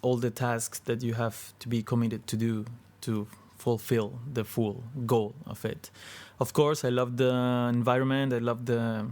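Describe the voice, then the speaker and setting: A man's voice: mid-range voice, little pitch movement, a little slow, few pauses, a little mellow. A young man from Venezuela, an actor on a film crew, giving hesitant interview answers as he searches for words in a hotel room.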